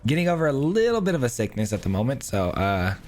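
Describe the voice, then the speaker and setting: A man giving casual, upbeat commentary while playing a card game, thinking aloud, his voice hoarse as he loses it. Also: mid-range voice, very animated, fast, darkish tone. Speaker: gaming channel host. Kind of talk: casual live gameplay commentary.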